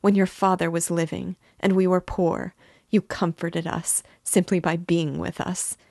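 A woman's voice: fairly low pitch, little pitch movement, measured pace, gravelly, darkish tone, no room sound, clean studio sound. A woman voicing an anxious, tearful middle-aged mother who pleads emotionally with her son. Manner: pleading, emotional, breaking into sobs. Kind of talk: audiobook character reading.

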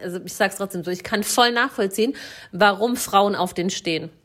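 A woman's voice: medium pitch, a little fast, a bit bright. A young woman co-hosting a casual chat, playful and chatty, laughing often. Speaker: podcast co-host.

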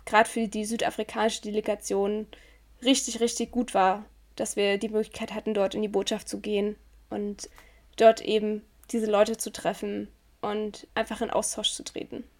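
A German woman in a reflective conversation, talking in a thoughtful, measured way and searching for words as she goes. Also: mid-range voice, little pitch movement.

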